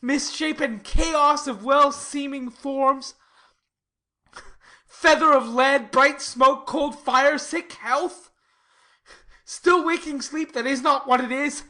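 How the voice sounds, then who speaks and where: A woman's voice: sits high, takes it slowly, pushed and bright, heavily acted. A woman playing a lovesick, brooding young nobleman in a dramatic Shakespearean reading, with a sighing, melodramatic delivery. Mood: anguished, passionate.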